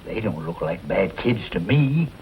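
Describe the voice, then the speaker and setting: An actor playing a kindly, folksy old rancher in a 1940s western style. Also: male voice, deep voice, very animated, measured pace, exaggerated voice. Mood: earnest pleading. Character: kindly folksy old rancher who takes in stray boys. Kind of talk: 1940s western film acting. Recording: muffled, hissy old western soundtrack.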